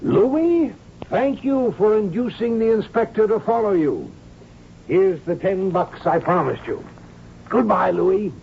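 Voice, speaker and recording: Sly old crook, highish, theatrical. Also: male voice, animated, unhurried, gravelly, full character voice. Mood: genial. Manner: teasing, mock-courtly. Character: sly, genial, theatrical retired male crook, older. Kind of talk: radio drama acting. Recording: dull, noisy old radio broadcast.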